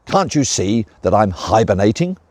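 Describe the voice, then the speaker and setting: A man's voice: on the low side, big pitch swings, normal speed, a little mellow, dry recording. A man voicing a grumpy, lazy young male dragon who talks in a snappish, curt way. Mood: irritable.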